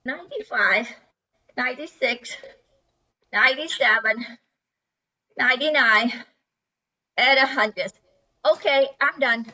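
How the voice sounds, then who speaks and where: A woman's voice: sits high, slow, long pauses, bright, forceful tone, heavily acted. A woman playing a weary, whiny eight-year-old little sister in an amateur radio play. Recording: dull, muffled lo-fi sound.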